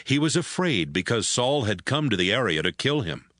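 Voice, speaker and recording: A man's voice: medium pitch, sing-song, normal speed, fairly bright tone, no room sound, studio quality. A man giving scripted narration of a Bible story as the narrator.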